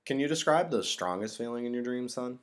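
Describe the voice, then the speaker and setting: An attentive storybook father as a male narrator reads him, with the voice lifted in pitch. He is encouraging and kindly, questioning and gently instructing his family. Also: lively intonation, fairly quick, smooth, lightly acted.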